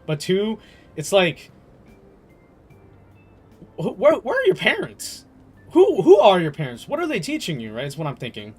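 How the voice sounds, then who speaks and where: A man's voice: high-pitched, wildly swooping pitch, normal speed, long pauses, a little mellow, slightly rough. A young adult man streaming a game and chatting casually, rambling and emphatic, swearing freely. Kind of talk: casual live stream chat.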